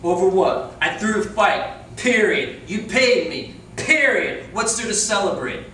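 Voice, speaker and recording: A man's voice: high-pitched, lively intonation, measured pace, pushed and bright, exaggerated voice. A man in an amateur staged reading playing a proud, stubborn young boxer, blunt and touchy. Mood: defiant. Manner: defiant, blunt. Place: echoey indoor room, staged reading. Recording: distant echoey room, faint noise.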